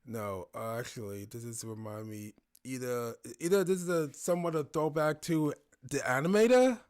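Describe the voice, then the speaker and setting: A man's voice: mid-range voice, moderate pace, a little mellow, clear, resonant tone. Man musing aloud in casual commentary. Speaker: reactor and commentator. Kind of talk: casual spontaneous reaction commentary.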